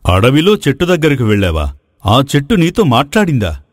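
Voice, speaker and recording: A man's voice: medium pitch, wildly swooping pitch, brisk, dry recording. Man playing a wise kindly king, dry sound. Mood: knowing, inquiring. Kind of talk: Telugu moral story voice acting.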